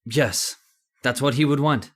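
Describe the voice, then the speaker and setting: A man's voice: medium pitch, a little slow, natural voice. A man playing a shaken, trusting doctor in old-time radio horror style, hesitant and exclamatory. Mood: shaken, subdued.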